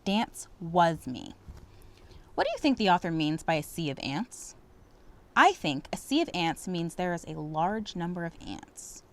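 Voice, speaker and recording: A woman reading a picture book aloud to children, pausing to ask and answer questions in a clear, teacherly way. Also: on the low side, sing-song. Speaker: reading tutor.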